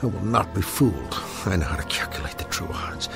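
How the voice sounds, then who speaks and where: Creaky, pompous older man, obsessed gambler. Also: deep voice, lively intonation, fairly quick. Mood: obsessive, defiant. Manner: pompous, formal, ranting diction. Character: obsessed, irascible older husband hooked on gambling machine. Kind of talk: radio drama acting. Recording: radio drama with music underneath.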